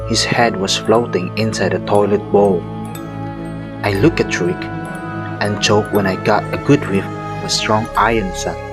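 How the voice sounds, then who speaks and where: A man's voice: on the low side, a little slow, close to an everyday voice. A man playing a traumatized survivor who narrates a horror story in a scripted audio drama. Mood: grim recounting. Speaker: first-person narrator. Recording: music behind the voice. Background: music.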